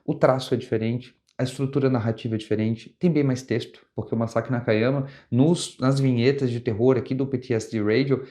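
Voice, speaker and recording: A man's voice: medium pitch, fairly even intonation, brisk, few pauses, warm tone. A young adult man with a Brazilian Portuguese accent, talking casually as host of a comics review channel, often restarting and rephrasing as he goes. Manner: conversational, with frequent restarts and rephrasing.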